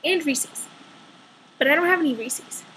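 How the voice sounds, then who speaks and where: A young woman with a very high voice chats through a personal story like a vlogger. She tells it casually and with exaggeration, slipping into little quoted asides as she goes. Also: moderate pace, bright, forceful tone, a little hiss or hum, poor audio.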